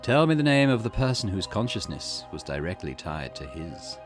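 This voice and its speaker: A cryptic, formal storyteller figure, read aloud by a man, speaking in a low voice that rises and falls widely. The delivery is measured and theatrical, with game music playing clearly behind him. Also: sing-song. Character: cryptic, formal male storyteller guiding the player. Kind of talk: reading game dialogue aloud.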